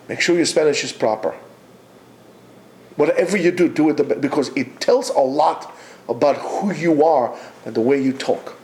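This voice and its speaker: A man giving a Torah lecture to yeshiva students, emphatic and exhorting, with many pauses. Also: fairly high pitch, darkish tone, slight background noise. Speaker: yeshiva teacher.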